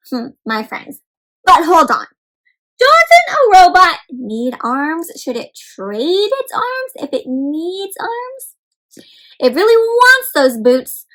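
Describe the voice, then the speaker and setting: Animated, high-voiced woman reading to kids. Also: low-quality recording. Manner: animated, exaggerated, asks the listeners questions. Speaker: children's read-aloud host. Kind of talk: interactive picture book read-aloud for kids.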